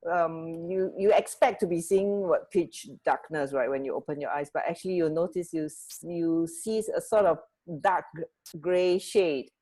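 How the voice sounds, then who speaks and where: A woman's voice: on the low side, moderate pace, warm tone. A woman co-hosting a radio show, chatting casually and sharing bits of trivia.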